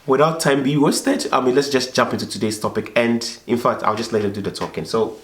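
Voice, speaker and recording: An echoey recording of a man hosting a casual vlog. His medium-pitched voice sounds a little dried up and tired. He talks informally to viewers and guest, often repeating himself. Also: brisk, fairly bright tone. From foreigner living in Belgium. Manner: repetitive, filler-heavy, informal. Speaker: vlog host.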